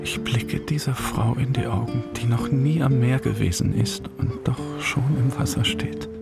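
An actor playing a pained, lovestruck man, narrating in a hushed, halting, breathy way in a radio play. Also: mid-range voice, over loud music, natural voice. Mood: aching, tender.

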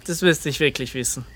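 An actor playing a cheeky, laconic teenage son in a radio drama, speaking in an offhand, flippant way. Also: male voice, on the high side, natural voice. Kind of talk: naturalistic radio drama dialogue. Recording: faint outdoor noise, birds and insects.

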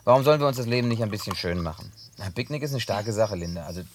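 A man's voice: on the low side, lively intonation, racing, background noise, natural voice. An actor dubbing a wry, teasing farm-boy type in a German film, talking dryly and laconically. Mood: reflective. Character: wry grown man, a farm boy at heart, teasing and guarded. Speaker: Jim, survivor in a German film dub.

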